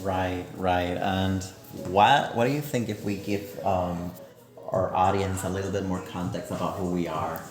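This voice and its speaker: Deep-voiced young man, halting and casual. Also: steady tone, music in the background. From Panama. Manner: hesitant, many ums. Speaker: podcast co-host. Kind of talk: casual chat.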